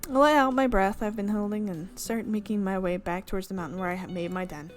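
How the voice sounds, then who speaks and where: A woman's voice: mid-range voice, fairly even intonation, quick pace, soft background music. A woman hosting a gaming video, reading a visual novel's narration aloud and often stopping to correct her slips. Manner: reads narration with frequent slips and self-corrections.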